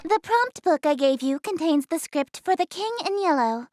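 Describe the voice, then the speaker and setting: A woman's voice: high voice, animated, moderate pace, a little mellow, no room sound. A woman voicing a haughty, easily flustered eldritch goddess in an anime-style game, speaking in a theatrical, formal, imperious way. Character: haughty, easily flustered regal eldritch goddess, cute young-sounding female. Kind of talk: anime-style visual novel game voice acting.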